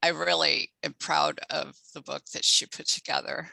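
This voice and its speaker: A woman's voice: low voice, fairly even intonation, moderate pace, creaky, bright, forceful tone, dry recording, rough recording. A woman poet chatting casually and conversationally on a video call. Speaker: poet and author.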